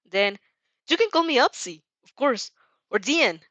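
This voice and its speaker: A woman's voice: high voice, big pitch swings, measured pace, a bit bright, no room sound, dull audio, pitched above the performer's own voice, heavily acted. A woman reading aloud as a friendly, casual young woman in an audio fan story. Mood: casual, insistent.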